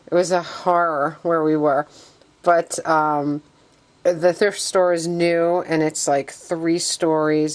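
A woman vlogger chatting casually and informally, gushing about what she shows. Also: low voice. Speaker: vlogger showing a thrift find. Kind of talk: casual chat.

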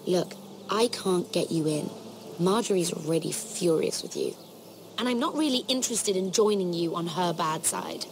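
A woman's voice: lowish voice, lively intonation, normal speed, background noise. A woman playing a guarded young noblewoman in a dramatic video game, playful but easily wary. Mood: wary, reluctant.

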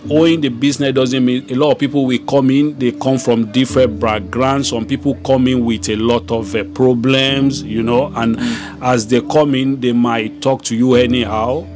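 A middle-aged man who owns a small shop, talking in an unhurried way and repeating phrases as he explains, recorded on a handheld mic indoors. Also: medium pitch, steady tone. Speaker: shop owner. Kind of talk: casual interview. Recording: background music under speech.

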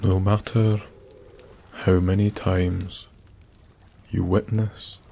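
A Scottish man narrating a bedtime story in a soft-spoken, soothing ASMR style, with long pauses. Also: deep voice, flat delivery, creaky. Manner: slow, soothing, hushed delivery with long pauses. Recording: faint background noise. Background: soft nature ambience.

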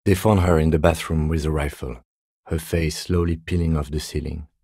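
Restrained police detective, middle-aged, very low mellow voice, dry formal delivery, video game dialogue. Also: male voice, fairly even intonation, vocal fry, breathy edge, no room sound, full character voice. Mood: measured, serious. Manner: measured, formal, restrained, dryly polite. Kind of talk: dramatic game dialogue, separate voice lines.

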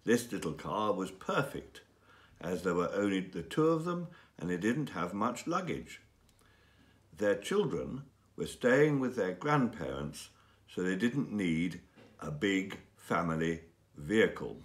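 A man reads a short story for learners, enunciating carefully and deliberately. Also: low-pitched, very animated. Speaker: story reader. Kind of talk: scripted story narration for English learners. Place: small indoor room with bookshelves and window.

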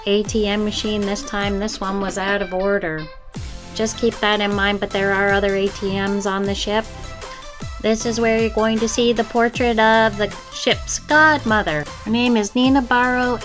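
A woman with a mid-pitched voice narrates a casual travel vlog over faint music. She talks like a tour guide, chatty and direct, putting questions and practical tips to the viewer.

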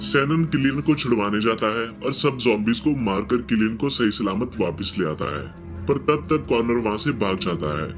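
A man narrating a film recap in a steady, scripted storytelling manner. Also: mid-range voice.

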